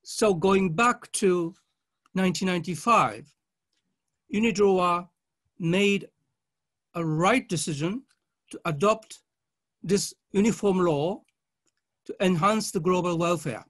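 Guy w high voice, doing a formal conference lecture, careful and halting, short phrases. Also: fairly bright tone. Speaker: conference speaker, legal academic. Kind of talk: formal academic conference presentation read with slides.

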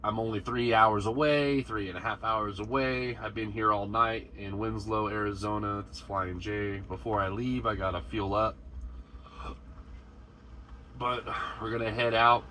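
Brief: deep-voiced American trucker, relaxed rambling vlog talk, bright forceful tone. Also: male voice, a little slow, slight background noise. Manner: relaxed, rambling, talks to camera while cooking. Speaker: truck driver vlogger. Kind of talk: casual vlog chat while cooking. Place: inside a truck cab at a truck stop.

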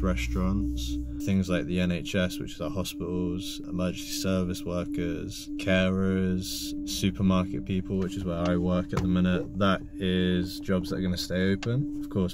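A man's voice: deep voice, heavy background noise. A man, giving a casual account to camera from inside a car. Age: 20s. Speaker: supermarket worker sharing experience. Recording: music bed under speech. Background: music.

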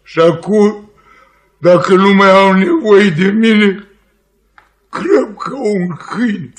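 An actor playing a proud, bitter, drunken old cast-off cook, ranting plaintively in a Romanian radio play. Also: male voice, high-pitched, lightly acted. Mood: bitter, indignant. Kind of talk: Romanian radio theatre acting. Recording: 1950s radio theatre recording.

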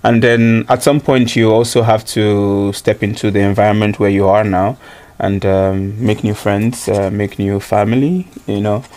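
Low-voiced man, thoughtful. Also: steady tone, normal speed, a little mellow. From Nigeria. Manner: thoughtful, many ums and uhs, laughs easily. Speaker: interview guest, student. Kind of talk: casual interview answers.

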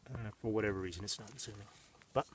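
A man's voice: lowish voice, very fast, far from the mic, very noisy. A man in his thirties giving a casual, rambling how-to demonstration outdoors in the desert, with many fillers and restarts. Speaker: vlogger. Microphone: camera mic, on-camera and off-frame.

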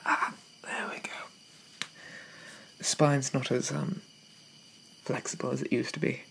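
A man playing a shy, gentle, polite Victorian zombie in a soft-spoken ASMR roleplay, stammering and hesitant with old-fashioned courtesy. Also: mid-range voice, little pitch movement, unhurried, vocal fry, low-quality recording. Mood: apologetic, flustered.

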